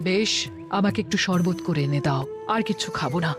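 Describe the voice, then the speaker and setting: A woman's voice: on the low side, brisk, over music, lightly acted. A woman playing a sulky, imperious cartoon queen who speaks in a curt, petulant way. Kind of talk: cartoon voice acting.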